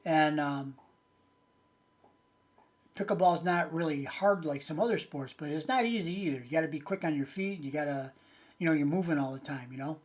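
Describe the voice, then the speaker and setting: A man's voice: on the high side, normal speed, pauses often. A man in his late sixties chatting casually and unscripted to his viewers as a channel host, rambling in a folksy way with hesitant phrasing. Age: late 60s.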